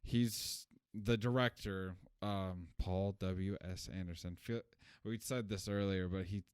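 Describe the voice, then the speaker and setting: A man's voice: low-pitched, fairly even intonation, slow, clean tone, no room sound, studio quality. A man co-hosting a film review podcast, talking casually and giving informal, blunt opinions. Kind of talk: casual chat, movie review discussion.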